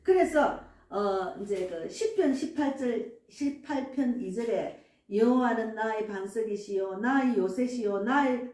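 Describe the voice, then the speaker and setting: A woman's voice: on the high side, darkish tone. A woman in her sixties, a pastor, preaching a sermon earnestly and emphatically, with frequent affirming asides. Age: 60s. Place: church sanctuary. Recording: echoey church room, distant mic, faint music.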